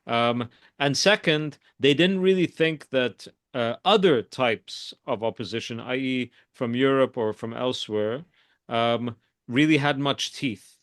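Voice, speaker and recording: Measured man, analytical, very dry recording. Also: mid-range voice, a bit bright, no echo. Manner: deliberate, careful, qualifying his points. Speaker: guest expert, foreign policy scholar. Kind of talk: measured political analysis in an interview.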